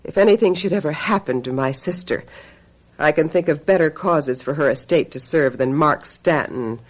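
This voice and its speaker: An actress in a radio drama playing a brisk, cutting, embittered female talent agent with a sardonic edge. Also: deep voice, low-quality recording. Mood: stern, scornful. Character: sharp-tongued, embittered female talent agent, secretly a witch.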